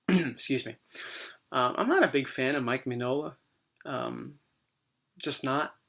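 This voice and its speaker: A man with a voice worn dry and hoarse by a recent cold. He talks in a loose, easygoing way, full of ums and restarts. The tone is casual show-and-tell. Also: mid-range voice, takes it slowly. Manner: relaxed, rambling, many fillers. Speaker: comic book collector vlogger.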